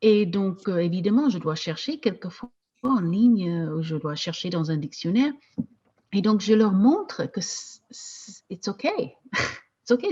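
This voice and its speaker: A woman teaching French as a second language, talking casually with slow, hesitant speech and frequent restarts. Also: fairly low pitch, darkish tone, husky, muffled recording. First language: not French. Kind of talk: casual discussion in a video meeting.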